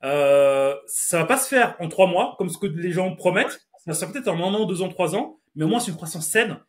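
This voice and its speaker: A man's voice: high-pitched, animated, moderate pace. A man in his thirties hosting a podcast, chatty and slangy, often laughing. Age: 30s. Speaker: podcast host. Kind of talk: casual podcast chat.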